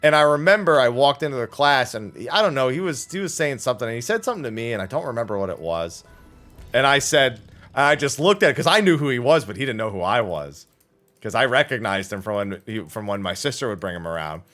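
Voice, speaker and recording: A man chatting casually as a livestream host, telling anecdotes in an animated, profane way and acting out the dialogue. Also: mid-range voice, quick pace, soft background music.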